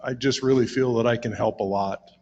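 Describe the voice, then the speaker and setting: A man's voice: mid-range voice, fairly even intonation, a little fast, a little mellow, muffled recording. A man introducing himself as a board candidate, hesitant and self-deprecating, with restarts.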